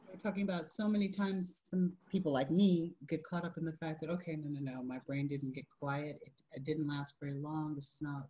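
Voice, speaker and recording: A woman taking part in an online group discussion, with a low, soft voice, speaking tentatively and searching for words. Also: fairly even intonation, brisk, few pauses, a little hiss or hum, low-quality recording. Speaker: participant. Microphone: online video call.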